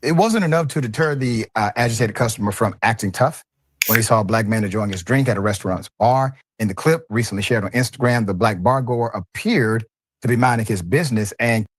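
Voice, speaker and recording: A husky-voiced man reading a news report aloud, measured and adding his own comments. His pitch sits in a middle range. The recording is dry and close. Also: no echo.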